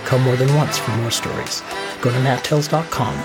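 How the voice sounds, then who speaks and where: A man's voice: medium pitch, steady tone, fairly quick, over loud music. A middle-aged man hosting a podcast, reading scripted first-person storytelling narration. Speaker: podcast host.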